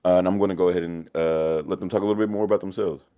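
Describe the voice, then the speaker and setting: Man, webinar moderator, very low voice, brisk delivery, upbeat. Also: steady tone, mellow. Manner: upbeat, repeats words when thanking. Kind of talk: panel moderation.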